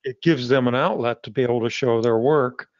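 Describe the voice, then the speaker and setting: A man's voice: medium pitch, no room sound. A man who publishes a magazine, chatting in an interview in a middling voice. He trips over his words and restarts often, backing up to rephrase. The recording is dry and a little dull, with no room sound. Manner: halting, with frequent restarts. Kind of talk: casual interview conversation.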